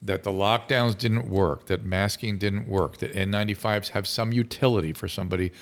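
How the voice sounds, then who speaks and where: A man's voice: on the low side, fairly even intonation, fairly quick. A middle-aged man, a physician hosting a live call-in show, talking earnestly and emphatically with frequent restarts. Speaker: physician talk show host.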